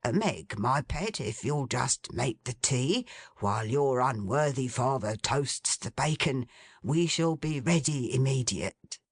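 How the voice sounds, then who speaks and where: A woman reading the part of Trotty, an excitable, fond old man, in a lowered, bustling voice. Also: takes it slowly, husky, no room sound, heavily acted.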